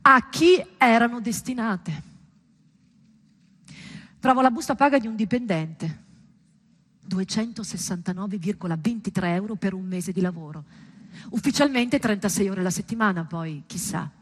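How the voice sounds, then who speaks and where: A woman performing a scripted theatrical monologue, storytelling with deliberate pauses and emphatic, dramatic delivery. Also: medium pitch, a bit bright, slightly rough. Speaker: stage performer.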